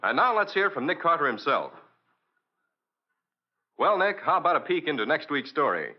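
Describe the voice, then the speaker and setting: A man's voice: on the high side, very animated, normal speed, dull audio, loud music behind the voice. A man with an American accent announcing in a polished, emphatic 1940s radio sponsor style, on an old broadcast recording.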